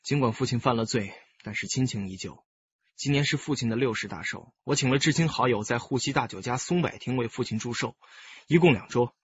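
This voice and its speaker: A man's voice: mid-range voice, husky, dry recording, dull audio. An actor playing a composed, candid young male music teacher, speaking politely and carefully in a radio drama. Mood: earnest, reflective. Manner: polite, measured, explanatory.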